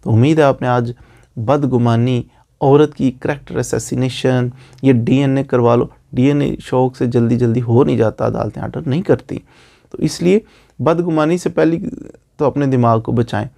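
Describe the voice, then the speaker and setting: A man's voice: medium pitch, fairly even intonation, normal speed, gravelly, mellow. A man with a Pakistani accent giving an informal explanatory lecture with moral advice, repeating phrases for emphasis and posing rhetorical questions. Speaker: lawyer giving legal advice.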